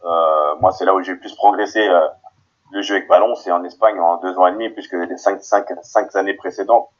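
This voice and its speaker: A man from Paris, a guest on a football podcast, answering in a halting way with many restarts, heard over a remote call. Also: sits low, steady tone, muffled recording. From Paris, France.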